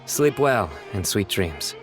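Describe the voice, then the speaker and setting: An actor playing a gentle, quietly playful man in a video game, speaking softly as a friend. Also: on the low side, big pitch swings, takes it slowly. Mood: gentle, soothing. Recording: loud music over voice.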